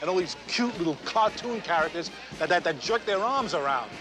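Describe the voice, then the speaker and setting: An actor playing a worried middle-aged park manager in a 1970s TV movie, businesslike and firm. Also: male voice, high-pitched, expressive, fairly quick, poor audio. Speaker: film character, park manager. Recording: film clip with music.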